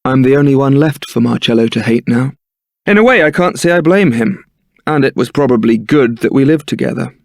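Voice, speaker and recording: An actor playing a young templar knight in a video game, suave, wry and brooding, with a theatrical, articulate delivery. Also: male voice, medium pitch, lively intonation, fairly quick, creaky, dry recording, studio quality. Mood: wry, bitter. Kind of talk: video game voice acting, dramatic scripted dialogue.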